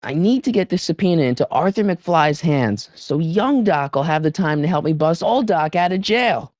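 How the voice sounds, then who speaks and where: An actor playing an excitable, wisecracking American teenage boy from the eighties in a video game, with slangy, youthful delivery. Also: on the high side, moderate pace, no room sound, studio quality, natural voice. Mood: determined, wry. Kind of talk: animated video game voice lines.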